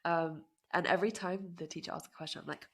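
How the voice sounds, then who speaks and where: A woman in her twenties chatting as a podcast guest, self-deprecating and quick to laugh. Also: fairly low pitch, fairly even intonation, vocal fry, very noisy. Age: 20s. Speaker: guest musician. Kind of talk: casual podcast interview.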